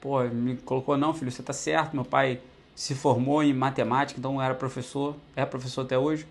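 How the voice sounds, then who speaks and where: A man's voice: mid-range voice, level tone, fairly quick. A young man from Brazil telling his own life story to the camera in a casual, conversational way, often tagging sentences with "né". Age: young adult. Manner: conversational, many fillers like "né" and "pô", addresses viewers directly.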